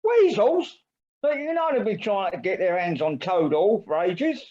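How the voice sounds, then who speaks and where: Woman doing bossy sensible water rat, lively exasperated tone, muffled zoom-call sound. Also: medium pitch, moderate pace, natural voice. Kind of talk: amateur audio play acting. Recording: dull video-call sound.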